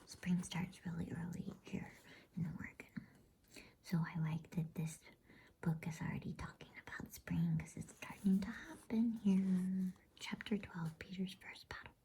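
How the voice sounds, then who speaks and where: A woman reading softly in a breathy whisper, in a soothing, unhurried ASMR bedtime story style. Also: lowish voice, level tone. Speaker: host and storybook reader.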